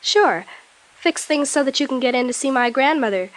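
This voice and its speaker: A woman's voice: high voice, animated, normal speed. An actress playing a self-assured sixteen-year-old American girl in a 1950s radio drama. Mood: confident.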